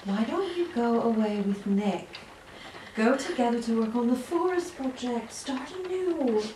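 A woman's voice: a little high, lively intonation, unhurried, dark tone. A woman playing a firm, weary sister in an audio play, blunt and admonishing yet protective. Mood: pleading concern. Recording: roomy, distant mic, clear background noise.

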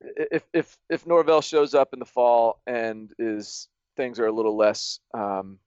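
A man co-hosting a podcast, speaking haltingly and stumbling over his words. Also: mid-range voice, fairly even intonation, darkish tone, clean tone, no echo. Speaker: podcast co-host. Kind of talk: casual podcast discussion. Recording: dull lo-fi sound.

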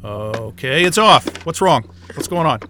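A man's voice: medium pitch, animated, unhurried, bright, forceful tone. A man playing an angry, sarcastic, foul-mouthed astrophysicist in a naturalistic sci-fi audio drama, sounding exasperated and incredulous. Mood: confused.

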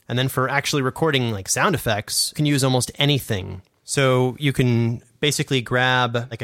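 A man co-hosting a podcast, explaining casually and a bit haltingly, as if thinking aloud. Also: mid-range voice, fairly bright tone, dry recording, studio quality. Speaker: podcast host and sound designer.

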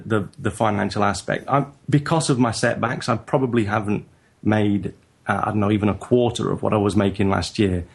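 A man with a northern English accent, talking in a halting, reflective way as a guest in a casual interview. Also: fairly low pitch, gravelly. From northern England. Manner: halting, reflective, frequent restarts. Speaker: guest photographer.

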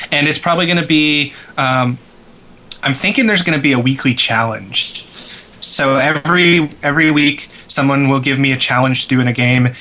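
A man's voice: mid-range voice, pushed and bright. A man co-hosting a podcast in casual, joking conversation. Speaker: podcast co-host.

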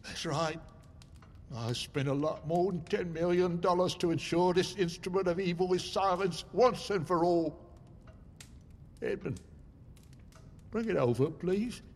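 An actor playing a very old, haunted survivor, telling his tale gravely and theatrically in an audio drama. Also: high-pitched, unhurried, long pauses. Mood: grave, resolved. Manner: grave, theatrical, emphatic storytelling. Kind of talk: dramatic audio fiction narration. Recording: music behind the voice.

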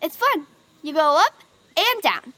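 Very high-voiced sweet little girl. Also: big pitch swings, measured pace, pushed and bright, full character voice. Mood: hopeful coaxing. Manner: polite, coaxing, innocent. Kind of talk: audio fiction acting.

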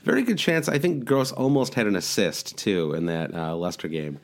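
A man's voice: on the low side, expressive, normal speed. A man from the American Midwest with a head cold and a nasal Midwestern accent, hosting a casual podcast chat and rambling with lots of fillers and restarts. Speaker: podcast host.

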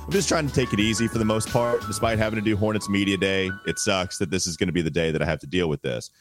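Deep-voiced man, under the weather, podcasting. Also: fast talker, music in the background. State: under the weather, strained voice held at low volume. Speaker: sports podcast co-host. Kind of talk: casual sports podcast discussion.

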